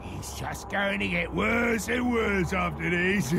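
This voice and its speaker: An actor voicing a gleeful, sadistic orc in a video game, with theatrical mocking delivery. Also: male voice, sits high, lively intonation, gravelly, very noisy, exaggerated voice. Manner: theatrical, mocking, drawn-out shouting and cackling. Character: gleeful, sadistic orc taunter, high and gravelly. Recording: loud music behind the voice. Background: loud game score.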